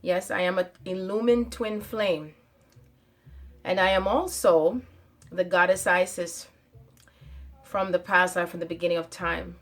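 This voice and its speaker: A woman's voice: fairly low pitch, steady tone, measured pace, long pauses. A woman talking straight to her viewers in a spiritual monologue, stating her claims with emphasis, often repeating phrases and stopping on fillers. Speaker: channel host.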